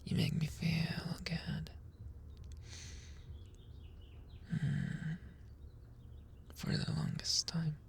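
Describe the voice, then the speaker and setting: A man playing a menacing, possessive mafia boss in an ASMR roleplay, speaking deliberately with mock sweetness and often dropping into a whisper. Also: on the high side, completely flat delivery. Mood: twisted tenderness. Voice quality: breathy, often whispering, creaky.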